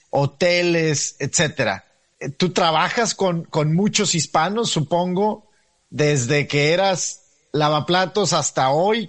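A male interviewer with a voice a little higher than average leads the conversation. He asks chatty, probing questions and mixes in his own reflections.

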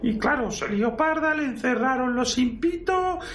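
Timid comedy priest, Spain Spanish accent, high falsetto-like voice, swooping intonation, stammering, apologetic. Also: male voice, lively intonation, moderate pace, fairly bright tone, a little hiss or hum, exaggerated voice. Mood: hesitant explaining. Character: timid, rambling priest, caretaker brother of a fallen conqueror. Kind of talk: amateur parody audio drama. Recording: roomy, distant mic, music underneath.

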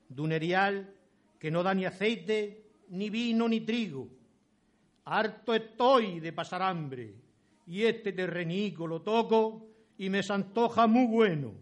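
A man playing a humble, determined peasant settler in a radio play, with rustic Murcian Spanish and a declamatory delivery. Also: high-pitched. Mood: weary, fed up.

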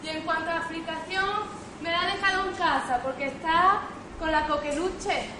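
High-voiced brassy jilted woman played by an actress. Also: fairly even intonation, normal speed. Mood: aggrieved, sarcastic. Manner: sarcastic, slangy, defiant. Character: brassy, aggrieved jilted working-class mother, sharp-tongued. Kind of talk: amateur comic radio theatre. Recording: roomy stage recording with loud background noise.

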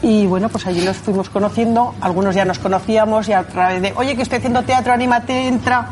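A woman's voice: medium pitch, fairly even intonation, fast talker, darkish tone, slight background noise. A woman with an accent from Spain, leader of an amateur theatre group, chatting casually as an interview guest.

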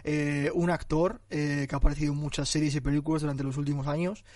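A man's voice: a little high, little pitch movement, fast talker, a little mellow, no echo. A man with an accent from Spain hosting a casual interview, informal and slangy, often tacking on tag questions. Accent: Spanish from Spain. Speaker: podcast host.

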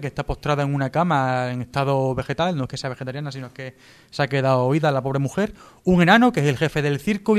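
A man's voice: on the high side, clean tone. A man from Spain with a Spanish accent giving a casual, jokey radio review, partly read out and sometimes stumbling. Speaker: radio show contributor reviewing TV series.

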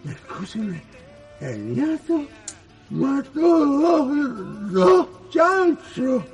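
An actor playing an excitable, bossy country man with a rural Chilean accent in a radio drama. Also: very high-pitched, extremely slow, heavily acted. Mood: excited.

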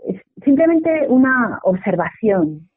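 A woman's voice: on the high side, big pitch swings, takes it slowly, slightly rough. A woman from explains things reflectively as an interview guest, often checking for agreement at the end of phrases. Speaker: mindfulness teacher, interview guest. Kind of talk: radio interview, explanatory conversation. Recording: dull lo-fi radio sound.

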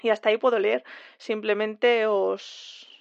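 A woman sending a recorded message to a podcast, speaking hesitantly with many pauses. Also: mid-range voice, steady tone, creaky, no echo, lo-fi sound. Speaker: podcast contributor.

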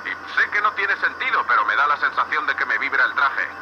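A man's voice: mid-range voice, very animated, quick pace. A man with a Castilian Spanish accent playing a blunt, foul-mouthed space salvage boss in a sci-fi audio drama, heard over a radio link. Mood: intrigued, focused. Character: gruff, sweary space salvage boss, awed by alien tech. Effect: radio comms filter. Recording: muffled, noisy space-suit radio link.

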